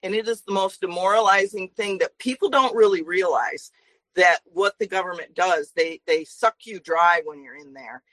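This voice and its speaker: A woman in her forties speaking as a guest, earnest and talkative with many fillers. Her voice is bright and forward, recorded dry with no room sound. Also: on the low side, normal speed, dry recording, rough recording. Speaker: guest, traveling minister. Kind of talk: interview guest talk.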